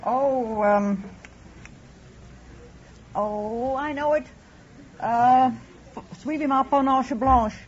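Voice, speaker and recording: A woman's voice: high-pitched, very slow, pauses often. A woman answering hesitantly and spontaneously as a contestant on an old radio quiz. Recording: muffled old radio recording, faint noise.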